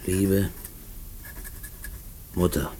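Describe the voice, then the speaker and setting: Brooding prisoner, very low and slow, halting delivery, radio drama with faint noise. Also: male voice, steady tone, mellow. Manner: halting, brooding monologue, spelling out words as he writes. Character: restless male prisoner writing hopeful letters to his mother. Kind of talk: German radio play acting.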